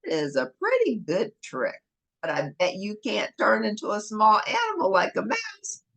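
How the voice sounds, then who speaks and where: A woman's voice: medium pitch, wildly swooping pitch, normal speed, fairly bright tone, exaggerated voice. A woman doing a sly, persuasive storybook cat. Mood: flattering. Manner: eager, persuasive. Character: clever, bold male cat in boots.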